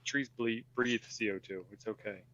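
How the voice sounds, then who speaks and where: A muffled, lo-fi recording of a man with a low voice. He is from the United States and chats casually, offhand and wry. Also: fairly even intonation, moderate pace, bright, forceful tone, no room sound. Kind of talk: casual group chat. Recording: dull, lo-fi voice connection.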